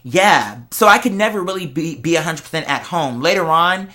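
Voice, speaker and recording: Casting: young adult American vlogger, bright forceful voice in a middle register, slangy confessional chat, candid and emphatic. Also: mid-range voice, animated, normal speed. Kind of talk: casual confessional vlog chat to followers.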